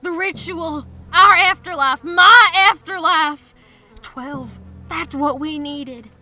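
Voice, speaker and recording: A woman's voice: extremely high pitch, slow pace, bright, forceful tone, exaggerated voice. An actress playing a menacing, fanatical young frontier woman in a western audio drama, terse and commanding. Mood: anguished fury.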